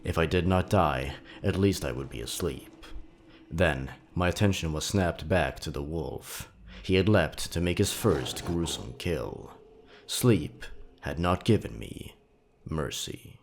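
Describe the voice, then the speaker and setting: A man reading scripted horror fiction as a dramatic narrator, with measured, deliberate phrasing. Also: very deep voice, animated, a little hiss or hum.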